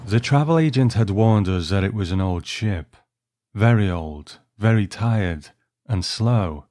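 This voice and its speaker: Guy reading as young husband telling a wry story about his travels, deep soft voice. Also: lively intonation, a little slow, no room sound. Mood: wry, reflective. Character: young newlywed husband recalling a voyage, wry. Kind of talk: first-person short story reading.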